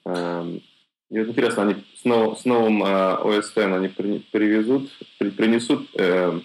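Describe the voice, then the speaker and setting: Lower-voiced guy, stammery questions, laid back tech chat. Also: moderate pace, poor audio. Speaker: podcast co-host.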